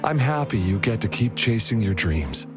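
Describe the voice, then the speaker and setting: A man voicing a gentle, caring, apologetic young man in a video game dub, with music behind him. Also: on the low side, sing-song, moderate pace. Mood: proud, apologetic.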